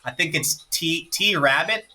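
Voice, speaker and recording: Excitable man from Jamaica with bright voice. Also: a little high, a little slow, soft background music. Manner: excitable, repeats himself, laughs often. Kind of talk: casual live-stream chat.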